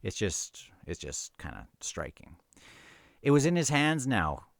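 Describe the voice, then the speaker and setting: Casting: male book vlogger, low pitch, dry close sound, earnest and halting delivery. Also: sing-song, gravelly, dry recording. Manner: earnest, halting, repeats words while thinking aloud. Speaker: book reviewer and reader. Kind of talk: casual book discussion mixed with read-aloud novel passages.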